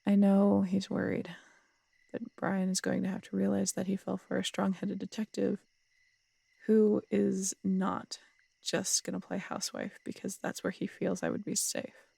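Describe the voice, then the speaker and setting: A woman playing a tough, strong-headed city detective in an intimate audio roleplay, husky and gravelly, telling things in a wry, unhurried, conversational way. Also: mid-range voice, little pitch movement, warm tone, dry recording. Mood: frank, fond. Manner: wry, unhurried storytelling with casual swearing.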